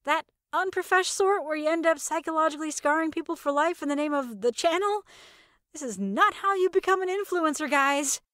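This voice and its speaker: A woman's voice: high voice, expressive, brisk, a bit bright, no room sound, studio quality, pitched above the performer's own voice. A woman voicing a nervy, slangy young female character in an audio story, rambling, incredulous and wry. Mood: incredulous, indignant.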